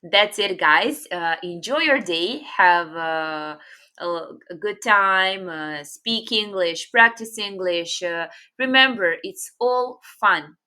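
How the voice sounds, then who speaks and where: A young woman, a native Serbian speaker, teaching English grammar in a patient, unhurried way with many fillers. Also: mid-range voice, pushed and bright. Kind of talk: casual online grammar lesson.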